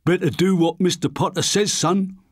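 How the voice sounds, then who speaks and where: A man's voice: sits high, steady tone, normal speed, slightly rough, dry recording, clean studio sound, pitched above the performer's own voice. A man doing a burly chauffeur. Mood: warning. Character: loyal burly British chauffeur, male.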